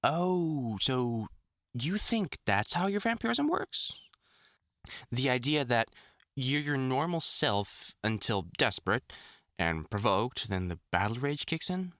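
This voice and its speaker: Man playing a wry, caring vampire hunter, lively pitch. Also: no echo, studio quality. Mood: wry, teasing. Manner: halting, wry, earnest, conversational. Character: gruff, caring older experienced male vampire hunter, mentoring. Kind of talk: intimate audio roleplay, speaking to the listener.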